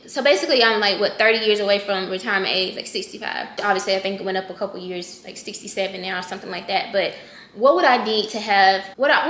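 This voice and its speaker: A woman's voice: mid-range voice, bright, forceful tone, reverberant. A woman in her thirties casually chatting with her viewers, correcting herself as she goes and talking straight to them. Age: 30s. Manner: conversational, self-correcting, talks straight to viewers. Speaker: personal finance vlogger.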